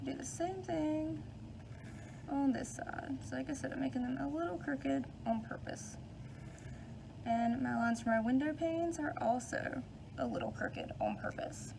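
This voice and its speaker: Gravelly-voiced woman teacher. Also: high voice, sing-song, a little slow, background noise. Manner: patient step-by-step instruction. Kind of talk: read-aloud and art lesson.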